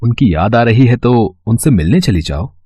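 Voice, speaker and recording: Caring young husband played by a man for a family cartoon: mild, mellow, very varied intonation, slightly muffled sound. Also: medium pitch, expressive, normal speed, husky, no echo, clean studio sound. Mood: concerned.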